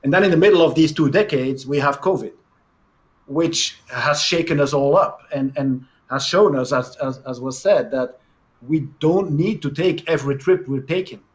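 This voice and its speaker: A man's voice: a little high, normal speed. Man urging action on a panel. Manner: stumbles into repeated words, urging tone. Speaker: health agency director on a panel. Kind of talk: online panel discussion.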